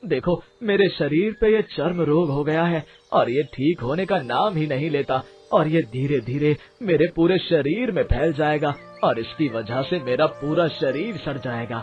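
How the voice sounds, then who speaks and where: A man's voice: a little high, lively intonation, a little slow, hardly any pauses. A man voicing a rich, whining, hot-tempered merchant in a dramatized story, theatrical and blustering. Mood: miserable, pleading. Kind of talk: dramatized Hindi moral story acting. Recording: dull, muffled audio under music.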